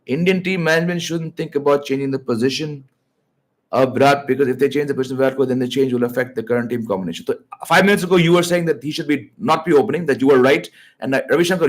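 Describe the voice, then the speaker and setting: Male live-stream cricket host, very fast patter, ribs his chat, code-switches into Hindi. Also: medium pitch. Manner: teasing, excitable, switches into Hindi. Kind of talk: live stream chat, reading viewer comments. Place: at home.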